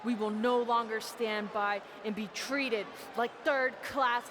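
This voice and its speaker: A woman playing a proud, menacing wrestler leading a mutiny in a video game, declaiming deliberately over background noise. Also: on the high side, steady tone. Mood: defiant, commanding. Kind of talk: scripted video game promo speech.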